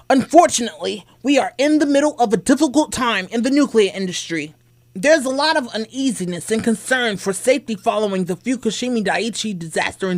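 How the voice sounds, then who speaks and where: A woman playing a firm, composed executive in an old-time radio drama, formal and measured in delivery. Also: fairly high pitch.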